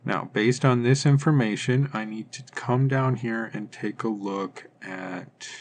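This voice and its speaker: A man's voice: fairly low pitch, creaky. A man teaching chemistry in an informal, step-by-step lecture style, methodically thinking aloud as he works through things.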